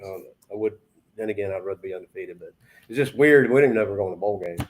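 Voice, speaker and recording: Fast-talking, rambling man. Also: lowish voice, low-quality recording. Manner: rambling, informal. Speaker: sports talk show host. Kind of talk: casual chat.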